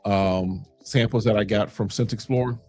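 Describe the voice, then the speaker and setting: A man's voice: on the low side, fairly even intonation, normal speed, darkish tone, husky, faint music behind. A man from Chicago's South Side hosting a casual fragrance livestream, answering chat questions in a loose, conversational way with plenty of fillers.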